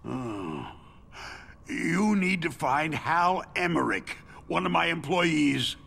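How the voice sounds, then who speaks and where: An actor in a video game playing a middle-aged arms company chief, a tortured, panicky captive who talks in halting, agitated stammers. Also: male voice, on the high side, sing-song, vocal fry, fairly bright tone, slightly rough, heavily acted. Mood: earnest, urgent. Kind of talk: dramatic video game voice acting.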